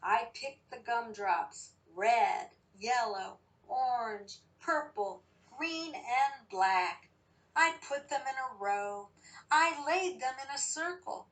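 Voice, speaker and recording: Woman, higher-pitched voice, very unhurried delivery, reads storybooks and gives simple lessons to small children with questions. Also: lively intonation, a bit bright, poor audio. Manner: slow, deliberate, addressing children with questions. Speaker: children's story reader and teacher. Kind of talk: picture book read-aloud and lesson for young children.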